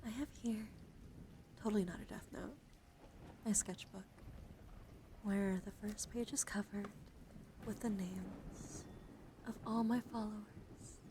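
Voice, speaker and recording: A woman with a mid-pitched voice hosts a livestream in a gentle ASMR style. She speaks softly, often drops into a whisper and lingers on soothing words. Clear ambient noise sits behind her voice. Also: fairly even intonation, slow pace, long pauses. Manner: soft-spoken, often dropping into whispers. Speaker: livestream host. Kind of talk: ASMR, soft chat to livestream viewers with card reading.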